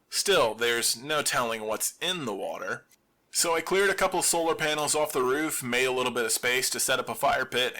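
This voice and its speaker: A man performing a scripted audio drama monologue as a lone survivor hosting a radio show, with wry deadpan humor. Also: medium pitch.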